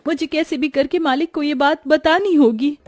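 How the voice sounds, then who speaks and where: A woman's voice: high voice, normal speed, a little mellow, soft background music, pitched above the performer's own voice, close to an everyday voice. A woman voicing an anxious, loyal young maidservant in an earnest, pleading, deferential way for a moral-story cartoon. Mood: worried.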